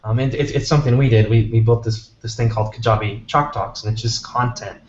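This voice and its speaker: A man offers encouraging advice as an interview guest, speaking informally at an average pitch. The sound is roomy and a little muffled, with faint background noise. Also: fairly even intonation, a little fast. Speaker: interview guest, app maker. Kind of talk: casual advice in conversation. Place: office. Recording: echoey, muffled, noisy office video call.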